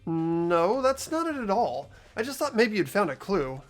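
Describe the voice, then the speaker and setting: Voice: medium pitch, sing-song, a little slow. A player voicing a mild-mannered, hesitant teenage boy detective, reading a video game's dialogue and inner monologue aloud. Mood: earnest, placating. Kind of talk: amateur voice acting and inner monologue of a game script.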